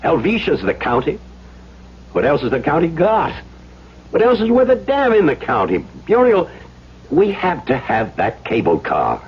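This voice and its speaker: A man's voice: fairly high pitch, wildly swooping pitch, normal speed. An actor playing an overbearing, indignant middle-aged businessman in a 1970s radio drama, with a blustery, hectoring manner. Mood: indignant, blustering. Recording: muffled, noisy old radio broadcast.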